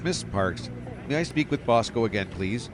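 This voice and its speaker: A man's voice: lowish voice, lively intonation, moderate pace, close to an everyday voice. A man playing a sceptical, official older police captain in an audio drama, with clipped questions. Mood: businesslike, baffled. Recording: clearly noisy outdoor street ambience behind.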